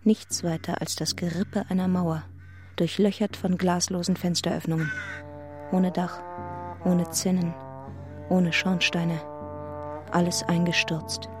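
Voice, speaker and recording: Radio play narrator female actor: first-person heroine, lower register, measured dramatic reading, clear trombone music underneath. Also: little pitch movement, lightly acted. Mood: stunned, bleak. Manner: measured, intense, in clipped literary phrases. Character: reflective heroine narrating her own story. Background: brass music with trombone.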